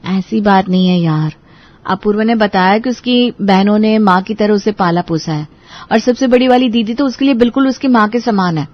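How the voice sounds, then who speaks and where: A woman's voice: mid-range voice, moderate pace, highs cut off, lightly acted. A woman playing an anxious, earnest young bride-to-be in a Hindi audio story drama. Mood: worried, defensive.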